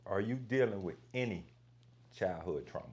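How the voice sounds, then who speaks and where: A man's voice: sits low, animated, normal speed, a little mellow, husky. A man hosting a podcast interview in an easygoing, reassuring casual way. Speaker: podcast host.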